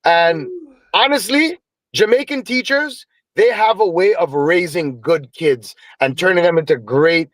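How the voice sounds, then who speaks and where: A Trinidadian man tells stories with easy Caribbean turns of phrase. His voice sits a little high and sounds dry and close, with no room around it. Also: a little slow, no echo, rough recording. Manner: animated storyteller with Caribbean phrasing. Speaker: guest musician. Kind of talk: casual storytelling interview.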